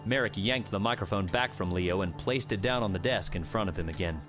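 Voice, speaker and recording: Clear background music runs under a man's low voice. He narrates a scripted adventure story in a dramatic audiobook style. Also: brisk.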